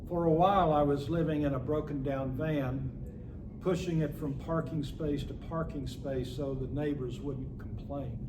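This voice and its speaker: A man's voice: a little high, fairly even intonation, normal speed, lightly acted. A man playing a wry homeless drug user and academic of thirty-four in a readers theatre reading. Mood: wry, matter-of-fact. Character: wry 34-year-old homeless man, meth-using adjunct professor. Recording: echoey room with clear background noise.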